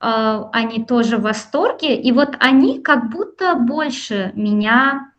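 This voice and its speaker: A woman from Russia and Iran talking reflectively and in a measured way as a guest in a conversational interview. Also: a little high, animated, a bit bright, muffled recording.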